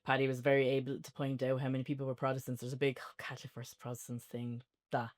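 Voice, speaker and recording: A man's voice: mid-range voice, completely flat delivery, fast. An Irish man in a casual, unscripted review chat as co-host, rambling and informal in how he talks.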